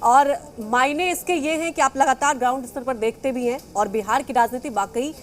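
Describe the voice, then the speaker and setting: A woman's voice: high-pitched, moderate pace, slight background noise. A woman anchoring live news and questioning a correspondent.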